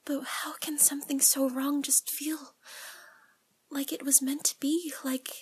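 A woman playing a nervous young woman confessing to a priest, speaking in a breathy whisper with halting, tearful, pleading phrases. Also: high-pitched, little pitch movement. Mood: ashamed, hushed. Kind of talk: whispered audio roleplay monologue.

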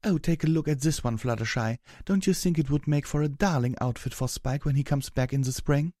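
A man's voice: a little high, a little fast, dark tone, no echo, clean studio sound, pitched above the performer's own voice, natural voice. A man voicing a refined, self-assured female unicorn in an audiobook story, dainty and emphatic. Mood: breezy, assured.

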